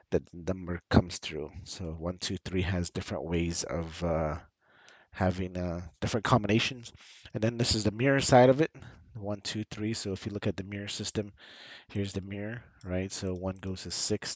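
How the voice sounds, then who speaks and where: Low-voiced man with a slight cold. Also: no room sound. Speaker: channel host and tutorial presenter. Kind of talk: casual screen-share tutorial narration.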